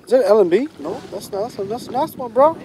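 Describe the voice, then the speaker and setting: Casual male fishing vlogger on a boat, slangy and exclamatory, very animated delivery. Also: high voice, fast, vocal fry, very noisy. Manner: excitable, slangy, exclaims often. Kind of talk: excited casual fishing chat. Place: outdoors on a boat on a lake.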